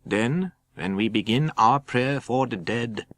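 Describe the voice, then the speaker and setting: Man playing a slow, solemn vampire-hunting professor. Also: mid-range voice, expressive, fairly bright tone, slight background noise. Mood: grave, gentle. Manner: slow, solemn, broken English grammar. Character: grave, commanding foreign professor, a vampire hunter.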